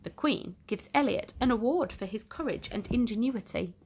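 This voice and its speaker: Very animated, higher-pitched woman storyteller. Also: a little hiss or hum. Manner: expressive, theatrical, slow deliberate phrasing with emphasis. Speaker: children's storybook reader. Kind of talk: dramatic storytime narration for young children.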